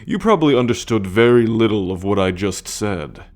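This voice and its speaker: A man playing a sardonic, coolly possessive male alien captor in an ASMR roleplay, speaking deliberately with a teasing condescension. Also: lowish voice, expressive, lightly acted. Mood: condescending. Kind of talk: ASMR roleplay monologue addressed to the listener.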